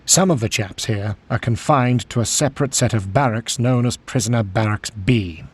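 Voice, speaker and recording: An actor playing an affable, understated British major in a video game, clipped and gentlemanly. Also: male voice, medium pitch, normal speed, husky, natural voice. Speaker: escape officer. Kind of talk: video game cutscene acting.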